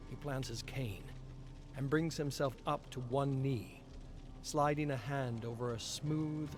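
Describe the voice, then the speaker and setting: A man giving a measured, expressive dramatic reading of game story text as a lore reader on a live stream. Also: medium pitch. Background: clear background music.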